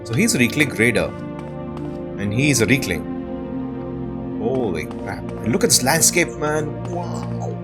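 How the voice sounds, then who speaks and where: A man hosting a game playthrough, giving casual, expressive commentary with a sense of wonder. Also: mid-range voice, unhurried, long pauses. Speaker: host of a game playthrough channel. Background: new-age music behind the voice.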